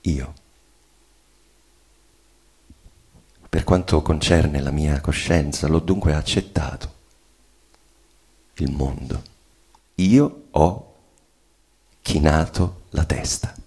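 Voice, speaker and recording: A tormented bourgeois husband in a dramatic play reading. The voice is very deep, husky and full of fry, declaiming solemnly and bitterly with weighted pauses. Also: male voice, a little mellow, full character voice. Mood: confessional, anguished. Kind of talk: theatrical monologue reading of a stage play.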